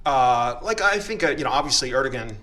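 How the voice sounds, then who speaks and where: Host: man, bright tone, mid pitch, hesitant, full of ums. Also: animated, a little fast. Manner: hesitant, many fillers and restarts, nervous. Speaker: podcast host. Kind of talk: podcast interview.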